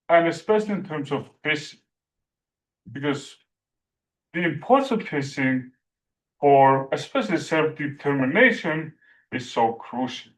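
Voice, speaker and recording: A man from Iceland with an Icelandic accent giving an academic presentation, speaking haltingly with many fillers like "like" and "you know". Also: medium pitch, a bit bright, low-quality recording.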